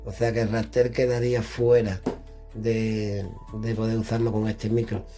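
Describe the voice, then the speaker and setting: A man's voice: on the low side, flat delivery, normal speed, warm tone, soft background music. A middle-aged man from chatting casually indoors as he demonstrates radio equipment, thinking aloud while he fiddles with the devices. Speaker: radio gear reviewer.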